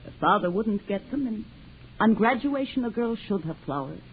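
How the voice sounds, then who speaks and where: A woman's voice: medium pitch, animated, moderate pace, close to an everyday voice. An actress voicing a fretful, thrifty old mother who grumbles, in a hissy, tinny 1940s radio drama. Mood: grumbling. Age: older. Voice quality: grumbling, fussing over money.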